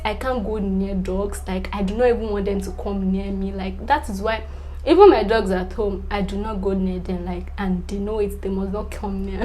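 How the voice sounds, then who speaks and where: Young Nigerian vlogger, a woman with Yoruba as her first language, in an easy middle register; loose, filler-heavy and quick to laugh. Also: mid-range voice, darkish tone. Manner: chatty, filler-heavy, repeats "definitely", laughs between answers. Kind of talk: casual chat to camera.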